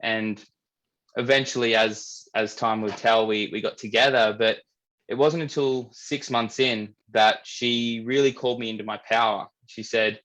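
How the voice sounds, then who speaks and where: A young Australian man from New South Wales, a men's coach, telling his life story in a relaxed, candid way and often repeating words as he thinks. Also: on the low side, moderate pace, rough recording. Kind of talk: casual storytelling interview.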